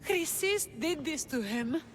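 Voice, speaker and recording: An actress playing a young, fiercely loyal priest's companion in a video game, defensive and impassioned. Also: female voice, high voice, lively intonation, unhurried, soft background music. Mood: protective.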